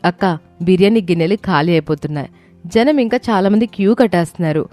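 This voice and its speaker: A woman's voice: on the low side, fast, mellow, lightly acted. A woman voicing an eager, respectful younger daughter-in-law in a family story. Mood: excited. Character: eager younger daughter-in-law reporting news to elder sister. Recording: background music under the voice.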